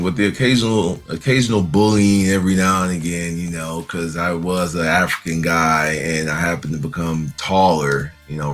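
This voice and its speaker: A young man with a very deep voice answers questions in a relaxed, easygoing way, leaning on fillers as he talks. Faint music plays underneath. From Nigeria. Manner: easygoing, laughs often, many fillers. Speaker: interview guest. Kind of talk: relaxed conversational interview.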